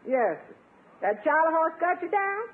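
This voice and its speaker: An actress playing a sassy, loyal, plain-spoken housekeeper in a 1950s radio sitcom, folksy, teasing and wisecracking. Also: female voice, very high voice, very animated, normal speed. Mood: cheerful, teasing. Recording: tinny, faintly noisy 1950s radio recording.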